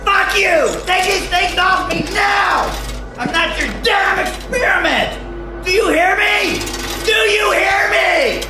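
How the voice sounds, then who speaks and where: A man's voice: extremely high pitch, expressive, moderate pace, vocal fry, pauses often, distant mic, heavily acted. An actor playing a deranged, raging male psychiatric patient, desperate and profane, in a horror audio drama. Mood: enraged, desperate. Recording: roomy echo, off the mic, music.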